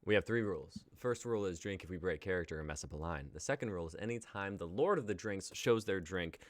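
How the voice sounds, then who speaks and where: A man's voice: sits low, animated, fast, dry recording. A man in his twenties casually hosting a live-stream show in a jokey, informal way. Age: 20s.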